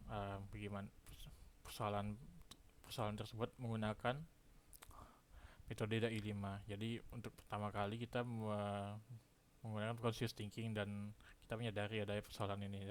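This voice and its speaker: A man in his twenties from Indonesia, a student explaining his class in a halting way. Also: on the low side, slight background noise. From Pekanbaru, Indonesia. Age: 20s. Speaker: university engineering student. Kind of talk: self-introduction and lecture recap to camera.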